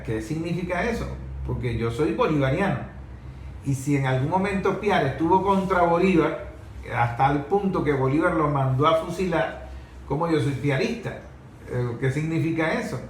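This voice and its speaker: Male discussion voice, a bit high, argumentative with rhetorical questions, recorded in an echoey room. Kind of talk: conversational political-historical debate. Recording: echoey room with faint noise.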